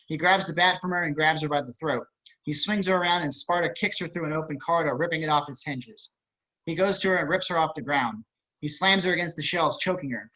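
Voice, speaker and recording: A man's voice: fairly high pitch, fairly quick, sharp tone. A man narrating stage directions at an online table read, with wry, casual asides. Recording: dull video-call audio.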